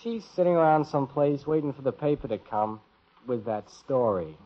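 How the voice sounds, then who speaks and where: An American actor playing a wisecracking, grumbling teenage son in a 1950s radio sitcom. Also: male voice, medium pitch, lively intonation, normal speed, lightly acted. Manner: grumbling, cheeky. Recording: dull old radio recording.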